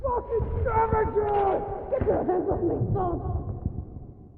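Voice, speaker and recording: Shrieking, creaky-voiced enraged man. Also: extremely high pitch, narrow, tinny audio, crowd noise, noisy background, exaggerated voice. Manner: drawn-out screaming. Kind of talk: cinematic video game acting.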